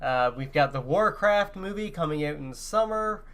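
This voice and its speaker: A man's voice: on the high side, expressive, moderate pace, a bit bright. A man co-hosting a podcast, chatting casually and informally about games and films. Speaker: podcast co-host. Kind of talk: casual chat about video games and movies.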